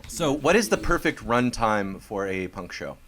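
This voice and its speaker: A man hosting a band interview, speaking in a deadpan, halting manner. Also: lowish voice, a little hiss or hum. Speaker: talk show host.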